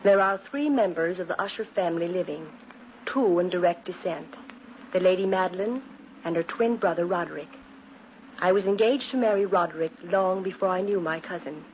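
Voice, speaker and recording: Solemn, dutiful young gothic heroine played by a woman, measured vintage radio acting, muffled recording with faint noise. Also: on the low side, a little mellow, lightly acted. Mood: solemn, foreboding. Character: dutiful, romantic young cousin and fiancée, Dina. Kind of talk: 1950s radio drama acting and narration.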